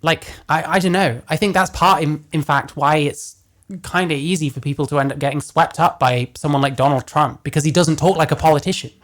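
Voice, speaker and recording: A man with a deeper voice giving casual reaction commentary, blunt and profane as he rants. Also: fairly even intonation, brisk, a bit bright. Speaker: commentary host.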